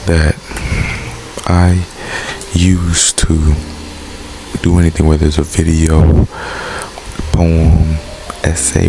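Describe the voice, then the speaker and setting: A man's voice: low voice, vocal fry, noisy background. A young American man talking earnestly and candidly about his life, repeating phrases for emphasis. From United States. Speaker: vlogger and poet. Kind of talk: reflective personal monologue. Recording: background music.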